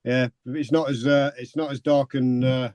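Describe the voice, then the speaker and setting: A man's voice: medium pitch, little pitch movement, normal speed, a little mellow, no echo. A man, a drummer guesting in an interview, talking in a laid-back, rambling way. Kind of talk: casual interview chat.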